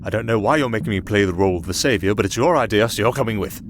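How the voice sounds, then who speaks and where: A man's voice: lowish voice, racing, background noise, close to an everyday voice. A man playing a roguish, wry musician turned makeshift captain in an ASMR fantasy roleplay, chatting to a companion. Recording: clear outdoor sea ambience behind.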